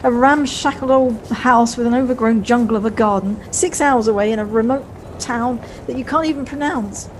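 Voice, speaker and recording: A woman in an audio play voicing a brisk, fretful, well-spoken wife with a wry, slightly snobbish manner, recorded at home on a personal device. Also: fairly high pitch, slight background noise, close to an everyday voice, rough recording. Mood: anxious, fond.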